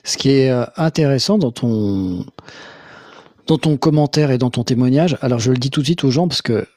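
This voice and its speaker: A man hosting a live call-in show who asks short follow-up questions and comments in a measured way, with many fillers. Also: mid-range voice, a little mellow, no echo, studio quality. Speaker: live stream host.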